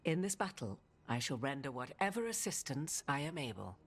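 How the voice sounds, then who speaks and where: Regal, very low-voiced witch. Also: very animated, normal speed, heavily acted. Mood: solemn. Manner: formal, archaic, theatrical phrasing. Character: regal, cryptic witch and arcane advisor woman. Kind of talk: video game dramatic acting.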